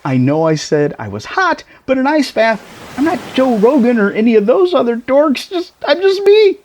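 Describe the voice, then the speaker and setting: A man's voice: very high voice, big pitch swings, moderate pace, darkish tone, slight background noise. A man playing a tiny shrunken boyfriend in an intimate ASMR roleplay, playful, teasing and doting, with theatrical exclamations. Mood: panicked, yelping.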